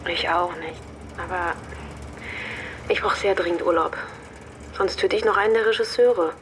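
A woman's voice: on the low side, moderate pace, close to an everyday voice. An actress playing a frank, overworked woman chatting casually with her partner in a naturalistic radio drama. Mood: weary, dry.

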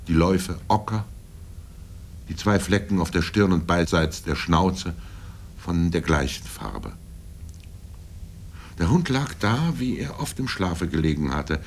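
An older man reading literary first-person prose in a grave, deliberate manner with dramatic pauses. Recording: low rumble and background noise.

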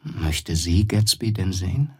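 A man's voice: deep voice, animated, measured pace, darkish tone, slightly rough, no echo, clean studio sound, exaggerated voice. A man playing a friendly, curious neighbour in a radio play, speaking in an understated, conversational way.

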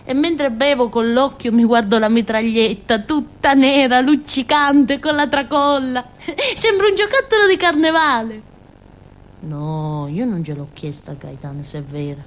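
An actress playing a naive, chatty village fool in a theatrical monologue, with a southern Italian accent and a rambling, giggly way of talking. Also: female voice, a little high, animated, measured pace. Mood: dreamy, fascinated. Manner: rambling, giggly, with frequent interjections and tag questions.

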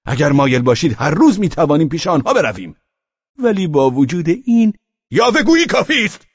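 A man voicing a brisk, commanding gentleman who speaks insistently and persuasively. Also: fairly high pitch, big pitch swings, slightly rough, no room sound, lo-fi sound, pitched above the performer's own voice, full character voice.

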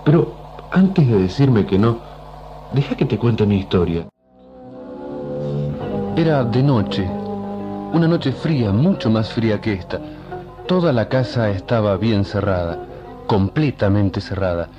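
An actor playing a weary, enigmatic time traveler, telling his story gravely and measuredly in a radio drama. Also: fairly low pitch, lively intonation, unhurried, long pauses, lo-fi sound, over music. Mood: haunted, hushed.

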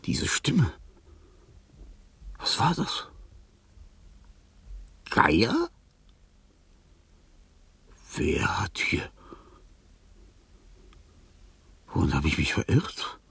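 Gravelly, faltering dying old man. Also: deep voice, wildly swooping pitch, fairly bright tone, far from the mic, exaggerated voice. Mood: bewildered. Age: older. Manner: halting, murmuring, often dropping into whispers. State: weak, weary. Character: dying, lonely old male artist, confused and weary. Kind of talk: radio drama acting, interior monologue.

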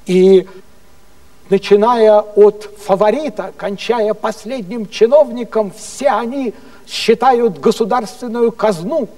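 Man reading as an indignant high-voiced French chronicler. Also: takes it slowly, mellow, long reverb tail, far from the mic. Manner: passionate, accusatory. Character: indignant Frenchman denouncing court corruption.

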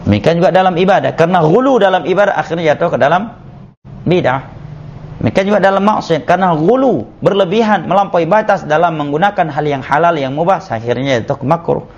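A man, an Islamic teacher, giving a measured religious study lecture that is punctuated with "ya" and "nah.". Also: on the high side, slight background noise. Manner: measured, repeats "ya" and "nah" as he explains. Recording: muffled lo-fi lecture recording.

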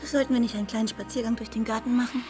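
High, genteel young society lady played by a woman. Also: fairly even intonation, very fast, music in the background, close to an everyday voice. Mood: polite, inviting. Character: polite young society lady at a garden party. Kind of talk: period radio drama acting.

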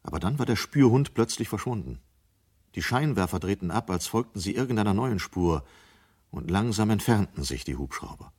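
A man's voice: fairly low pitch, lively intonation, normal speed, a little mellow, slightly rough. A man narrating a scripted radio play in German as its storyteller.

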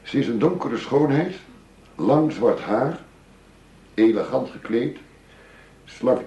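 An actor playing a shrewd, businesslike undercover negotiator posing as a money dealer in a radio drama. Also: male voice, mid-range voice, lively intonation, dark tone, husky, dull audio, exaggerated voice. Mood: brisk, clipped. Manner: measured, businesslike, formal.

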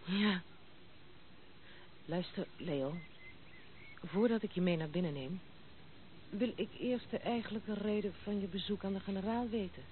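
A woman's voice: mid-range voice, lightly acted. An actress playing a blunt, proud, self-assured young noblewoman in a radio play. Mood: brisk, self-assured. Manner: brisk, self-assured, outspoken. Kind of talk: Dutch radio play acting. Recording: muffled narrow-band old radio recording, noisy.

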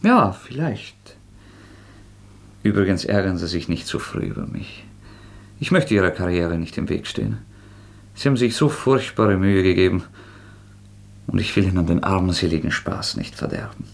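An actor playing a proud, bitter young student murderer in a radio play, with an intense, sardonic, defiant delivery. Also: male voice, low-pitched, animated, normal speed, vocal fry, pauses often. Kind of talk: German radio play acting.

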